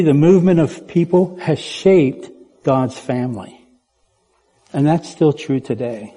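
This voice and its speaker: A man's voice: a little high, warm tone, breathy edge. A man born in Puerto Rico preaching as a guest speaker in a church, chatty and playful, putting questions to the congregation. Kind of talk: sermon. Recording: church hall with long reverb.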